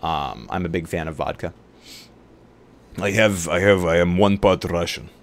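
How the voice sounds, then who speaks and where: A man streamer chatting casually on a livestream in a halting way, often restarting his sentences. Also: low-pitched, slight background noise.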